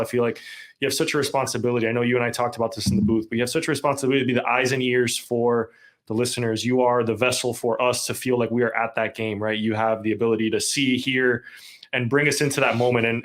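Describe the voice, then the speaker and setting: A man's voice: medium pitch, a bit bright. An American man hosting a podcast conversationally, with an earnest, wordy way of talking. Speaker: podcast host.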